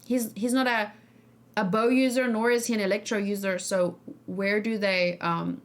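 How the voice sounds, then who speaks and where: A woman's voice: medium pitch, fairly even intonation, normal speed. A woman hosting a livestream, talking casually with her viewers in an emphatic, questioning way, repeating key words for stress. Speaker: streamer hosting a reaction and discussion.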